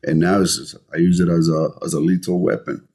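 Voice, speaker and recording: A man's voice: deep voice, flat delivery, a little fast, darkish tone. A middle-aged man with a Colombian accent, talking earnestly as an interview guest and often repeating words as he searches for phrasing. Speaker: podcast guest, entrepreneur. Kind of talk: conversational interview.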